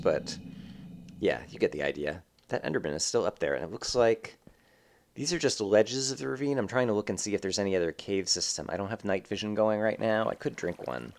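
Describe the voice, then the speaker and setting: A man with a somewhat low voice talks through his gameplay in a loose, rambling way, filling pauses with ums and likes. His voice sounds a little congested, as if he has a slight cold. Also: darkish tone, a little hiss or hum. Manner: rambling, thinking aloud with many fillers. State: slightly stuffed up. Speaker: let's play host. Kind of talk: casual gameplay commentary.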